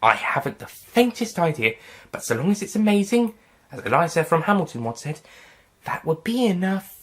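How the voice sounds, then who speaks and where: Feisty young woman companion, high and eager, man voicing her. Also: sing-song, moderate pace. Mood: dreamy. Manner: eager, raised and breathless.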